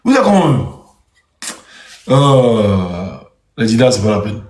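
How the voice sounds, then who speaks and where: A man moderating an online debate room, briskly passing the floor to speakers. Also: on the low side, very animated, darkish tone, roomy sound.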